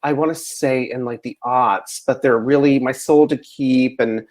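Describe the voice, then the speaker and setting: An interviewer relaying audience questions in a casual chat, with a tentative, halting way of putting them. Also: mid-range voice, fairly even intonation, a little mellow.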